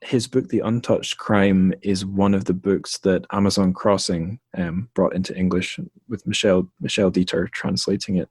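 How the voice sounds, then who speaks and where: A man's voice: deep voice, steady tone, normal speed, hardly any pauses, darkish tone, breathy edge, dry recording. A man hosting a podcast interview, talking loosely with frequent restarts and small stumbles. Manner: rambling, stumbles and restarts often. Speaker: podcast host. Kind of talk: casual interview chat.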